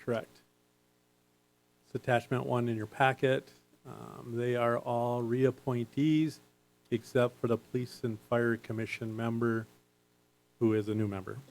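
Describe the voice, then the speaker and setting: A man's voice: medium pitch, level tone, unhurried, pauses often, a little mellow. A man chairing a city council meeting reads agenda items and vote results in a businesslike, procedural way in a council chamber.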